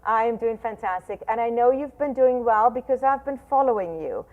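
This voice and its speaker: A woman's voice: a little high, a little fast. A woman hosting an interview show, enthusiastic and chatty, often restarting her words. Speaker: show host. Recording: tinny phone-like line.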